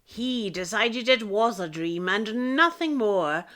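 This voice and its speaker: A woman narrating a radio play like a storybook, with deliberate, dramatic phrasing. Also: medium pitch, lively intonation. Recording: loud music bed under narration.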